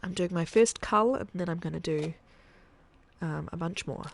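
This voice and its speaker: A woman streaming a survival game, thinking aloud in a chatty way with soft muttered asides. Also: fairly low pitch, normal speed, vocal fry, a little hiss or hum. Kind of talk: casual gameplay commentary.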